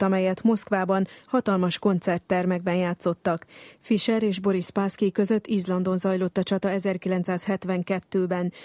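A woman reading a scripted radio documentary narration in a steady, measured way. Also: medium pitch, no room sound.

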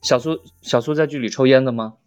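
A man's voice: medium pitch, fast talker, a little mellow, clean tone, faint music behind. A man chatting and bantering casually as a guest on a show. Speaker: voice actor guest.

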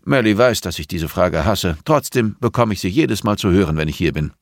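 A man voicing a composed, middle-aged blind teacher in an audiobook, patient and measured, with a dryly pointed way of explaining. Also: deep voice, expressive, no room sound, clean studio sound. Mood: weary, curt. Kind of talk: audiobook character dialogue.

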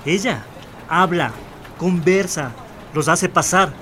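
An actor playing a blunt, bossy, exasperated shop boss in a radio soap. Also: male voice, high voice, slow, fairly bright tone, soft background music. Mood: irritated, blunt. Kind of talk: radio soap opera acting.

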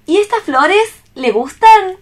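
An actress playing a compassionate, tender-hearted young woman reporter in a radio play, expressive and theatrical. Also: very high-pitched, slow pace, heavily acted. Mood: cheerful.

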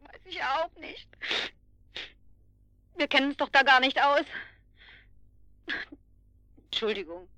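Flustered, bright-voiced indignant woman. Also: sits high, expressive, takes it slowly, long pauses, heavily acted. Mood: distressed, helpless. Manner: agitated, plaintive. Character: flustered, indignant woman neighbour phoning the police. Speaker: caller. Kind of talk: naturalistic German radio drama acting.

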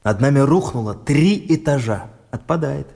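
An actor in a Russian radio play playing a no-nonsense army captain, brisk, clipped and commanding. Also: male voice, mid-range voice, lively intonation, gravelly, warm tone. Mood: brisk, firm. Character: no-nonsense army captain keeping order among trapped people.

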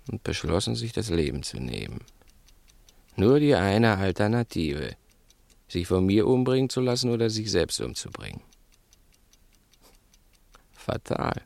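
An actor playing a brooding, taunting, talkative crook in a 1960s German radio play, musing theatrically and condescendingly. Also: male voice, fairly low pitch, vocal fry, darkish tone, dry recording, full character voice. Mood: brooding, puzzled.

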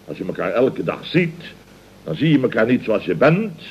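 An actor playing a pompous, self-satisfied middle-aged contractor boss in radio comedy, blustering and repeating himself with rhetorical questions. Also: male voice, fairly low pitch, big pitch swings, normal speed, gravelly, a little hiss or hum. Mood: indignant. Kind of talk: 1970s Dutch radio comedy acting. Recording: dull old radio recording.

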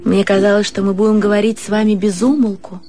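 A woman playing a nervous, yearning young gentlewoman in a radio drama, earnest and hesitant. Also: medium pitch, level tone, quick pace, soft background music, lightly acted. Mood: nervous, wistful. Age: young adult. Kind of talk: Russian radio literary drama.

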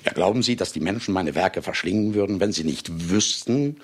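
An actor in a German radio play as a celebrated writer who smugly confesses to murders, urbane, condescending and theatrically deliberate. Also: male voice, low voice, breathy edge. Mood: lecturing, mocking.

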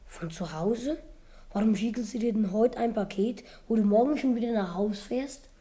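A woman's voice: fairly high pitch, moderate pace, mellow, close to an everyday voice. A woman playing a hearty, boisterous schoolboy who talks in chummy slang in a German radio play. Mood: curious, cheerful. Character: Matthias, hearty, always hungry, boisterous schoolboy.

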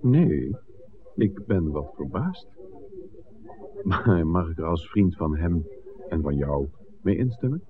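A man's voice: deep voice, sing-song, slow pace, pauses often, narrow, tinny audio, exaggerated voice. A man playing an inquisitive, suspicious film maker in an old radio drama, articulate, probing and wry. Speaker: radio play actor. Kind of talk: 1960s radio drama acting. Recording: thin, noisy old radio recording.

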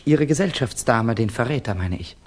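An actor plays a suspicious, brooding Russian exile in a 1960s German radio drama. His male voice is husky and mid-pitched. He speaks tersely and gravely, always on the defensive. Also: lively intonation, moderate pace, natural voice. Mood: uneasy.

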